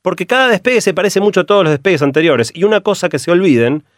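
A middle-aged man with an Argentine Spanish accent, a radio columnist explaining ideas through a steady run of examples. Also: sits high, very fast, dry recording, clean studio sound.

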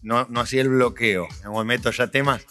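Bantering Argentine radio host. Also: male voice, mid-range voice, steady tone, moderate pace, pushed and bright, soft background music. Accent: Argentine Spanish. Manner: interjecting, bantering. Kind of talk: casual radio chat.

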